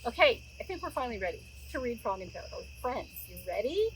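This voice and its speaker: A middle-aged woman narrating a storybook aloud for young listeners, expressive, with chatty asides. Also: a little high, fast talker, a little hiss or hum, poor audio. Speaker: children's story reader. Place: outdoors near a road, woods.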